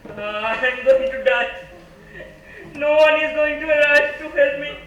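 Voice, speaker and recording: A woman with an Indian accent acting on stage as a desperate neuroscientist in a medical emergency, halting and repeating herself. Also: high voice, fairly bright tone, dull audio. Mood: despairing. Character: panicking woman neuroscientist fighting a stroke, despairing. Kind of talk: amateur stage play acting. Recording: echoey noisy stage, distant microphone.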